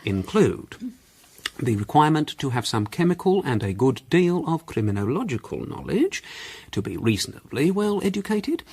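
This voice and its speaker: A man's voice: mid-range voice, husky. An actor playing a self-assured male amateur sleuth in a British period mystery drama, hesitant and fussily precise as he sets out a theory. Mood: pleased, confident.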